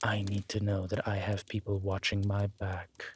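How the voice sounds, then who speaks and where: A man voicing a grave, protective, centuries-old immortal in a roleplay audio drama, speaking in a measured, formal and solemn manner. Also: lowish voice, monotone, a little hiss or hum, pitched below the performer's own voice, close to an everyday voice. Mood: solemn, guarded.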